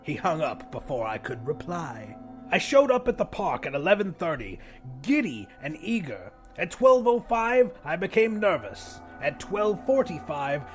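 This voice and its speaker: A man narrating first-person fiction in a dramatic, expressive, scripted audiobook style. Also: fairly high pitch, unhurried, faint music behind.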